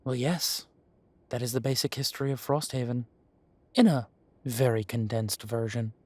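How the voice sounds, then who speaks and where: An actor playing a kindly café owner in a fantasy town, talking to the listener in an unhurried, courteous, slightly formal way in a roleplay audio. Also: male voice, mid-range voice, fairly even intonation, a little mellow, no echo, close to an everyday voice. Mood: fond, wistful.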